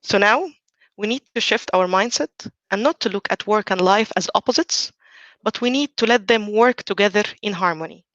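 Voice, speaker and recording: A woman in her forties from Egypt, giving a measured, earnest prepared talk as a team coach. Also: mid-range voice, a bit bright, no echo, dull audio. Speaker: team coach, conference speaker.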